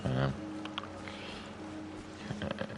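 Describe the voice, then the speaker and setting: A man's voice: drawn-out words, over music. A man streaming a game, chatting casually as he plays and reading the dialogue aloud. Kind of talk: casual gameplay commentary.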